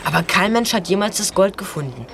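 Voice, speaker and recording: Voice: mid-range voice, expressive, brisk, a bit bright. An actor playing an eager, studious teenage boy detective in an audio drama. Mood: informative. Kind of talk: hobby audio drama acting.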